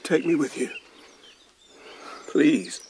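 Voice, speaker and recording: A man playing a forlorn, grieving rabbit in a radio drama, halting and pleading. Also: fairly high pitch, expressive, darkish tone, slight background noise. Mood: pleading, sorrowful. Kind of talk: British radio drama acting.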